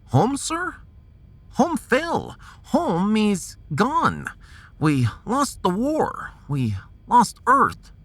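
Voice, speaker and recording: Gloomy russian space scientist, high voice, very swoopy pitch, pedantic, audio drama. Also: male voice, wildly swooping pitch, a bit bright, exaggerated voice. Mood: despairing. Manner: pedantic, tag questions, drawn-out phrasing. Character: fussy, gloomy Russian male starship science officer. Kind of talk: sci-fi audio drama acting.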